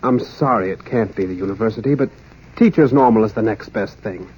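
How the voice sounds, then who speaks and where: Slightly husky, apologetic father. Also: on the low side, a little fast. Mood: apologetic, awkward. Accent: American. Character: apologetic middle-aged father letting daughter down. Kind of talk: 1940s radio acting. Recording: muffled vintage radio recording.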